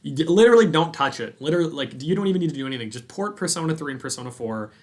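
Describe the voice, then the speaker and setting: A man's voice: a little high, expressive, rapid-fire. A man hosting a gaming podcast, talking informally and enthusiastically in casual conversation. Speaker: podcast host.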